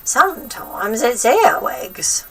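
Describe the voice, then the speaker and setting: A woman's voice: fairly high pitch, big pitch swings, takes it slowly, recorded from a distance, slight background noise, voice pushed up, heavily acted. A woman voicing a sinister, gloating old grandmother in a storybook, drawing out nasty words with menacing relish. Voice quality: throaty, breathy whisper at times.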